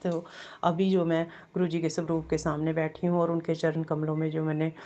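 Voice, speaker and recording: A woman with a sore throat sharing a devotional testimony, halting with many fillers and repeated blessings. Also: low voice, little pitch movement, mellow, highs cut off. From Jammu.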